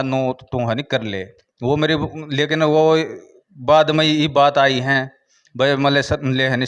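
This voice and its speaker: A man with a Haryanvi accent, a village head giving an informal interview account, haltingly, with repeated filler phrases. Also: mid-range voice, clear, resonant tone.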